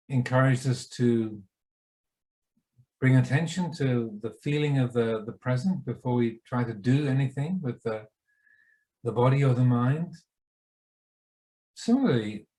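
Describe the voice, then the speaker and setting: A man leading a guided meditation as a teacher, speaking in short, unhurried phrases with pauses between them. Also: mid-range voice, a little mellow, rough recording.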